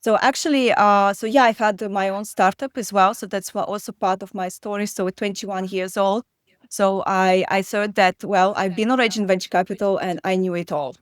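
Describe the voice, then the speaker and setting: A woman talks as an interview guest, her voice smooth and clear at a middle pitch. She pauses often with fillers and restarts her sentences. The recording is dry and close. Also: steady tone, no room sound. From Belarus. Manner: hesitant, many fillers and restarts. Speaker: guest investor. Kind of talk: conversational interview.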